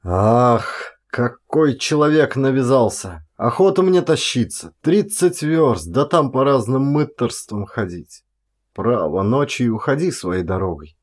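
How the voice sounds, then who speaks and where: Man playing a grumbling rustic forest watchman, slow drawl, lively pitch, exasperated, kind-hearted, storybook character.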